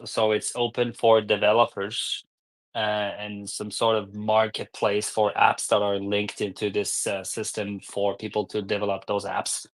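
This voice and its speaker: A man with a slightly low voice gives an informational explanation as a panel guest. His phrasing is careful and a little halting.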